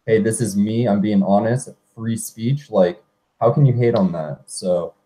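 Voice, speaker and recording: A man's voice: lowish voice, unhurried, warm tone, low-quality recording. A man in a casual group chat, talking in a loose, chatty way full of "like" and "you know".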